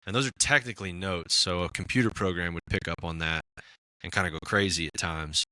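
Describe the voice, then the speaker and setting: Raspy man, very low voice, rambling podcast chat, fillers and restarts, dry recording. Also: fairly quick, pushed and bright, no room sound. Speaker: musician guest.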